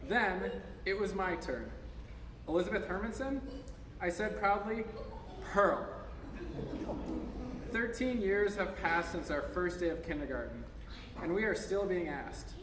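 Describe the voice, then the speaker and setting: A man's voice: high voice, a bit bright. An American man in his thirties reading an essay aloud to a gathering in an informal way, now and then stumbling over his words. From United States, Minnesota. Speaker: speaker at a memorial service. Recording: echoey hall from far off, noisy.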